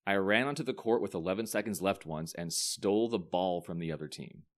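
A man voicing a cocky, combative teenage schoolboy in an audiobook, with a brash, drawn-out delivery. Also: lightly acted. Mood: proud bragging. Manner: brash, drawn-out, swinging from low drawl to scream.

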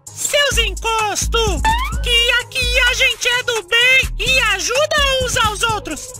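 A woman with a Brazilian accent voicing a plucky, feisty little cartoon boy, squeaky and emphatic. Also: slow pace. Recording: loud music behind the voice. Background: loud music.

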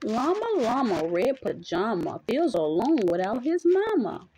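A woman's voice: a little high, sing-song, measured pace, mellow, slight background noise. A mother reading a picture book aloud to her young child in a playful, sing-song way, chatting with the child as she goes. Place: small dim bedroom at home.

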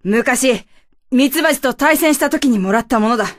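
Woman playing a brash, high-pitched samurai ant. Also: lively intonation, normal speed. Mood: gruff, proud. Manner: gruff, boastful archaic samurai speech. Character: brash, proud male samurai ant villain. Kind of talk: animated radio drama acting.

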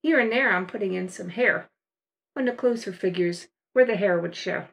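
An older woman narrating a watercolor painting demonstration as a voice-over, explaining each step in a relaxed, unhurried way. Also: medium pitch, gravelly. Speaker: watercolor art teacher.

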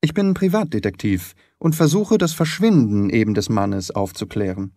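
A man voicing a shrewd, composed private detective in an audiobook, with a measured, polite and slyly mischievous manner. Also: on the low side, expressive, dark tone, no echo, studio quality. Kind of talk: dramatised audiobook reading.

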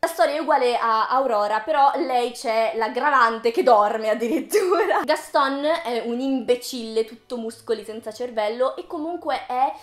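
High-voiced young woman, roomy sound. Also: normal speed, few pauses. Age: early 20s. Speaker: video creator, host. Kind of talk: casual video essay to viewers. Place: small room with bookshelves, indoors. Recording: roomy indoor echo.

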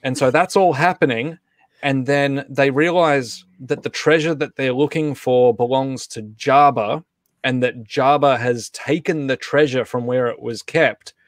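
A man's voice: mid-range voice, measured pace, no echo. A man hosting a casual livestream chat, talking in a rambling, conversational way. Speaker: host and co-creator. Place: indoor home setup on a group video call.

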